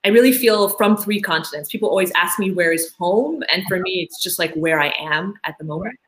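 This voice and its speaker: A woman's voice: lowish voice, a little fast. A woman from Tanzania, a school board candidate, answering interview questions in an eager, chatty way, full of "like" and "you know".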